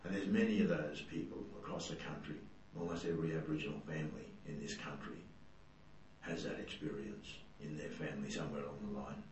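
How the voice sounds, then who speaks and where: An Australian man speaking in an earnest, measured and emphatic way as an interviewee. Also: very deep voice, flat delivery, a little mellow. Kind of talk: earnest spoken testimony. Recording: distant, very echoey room with hum.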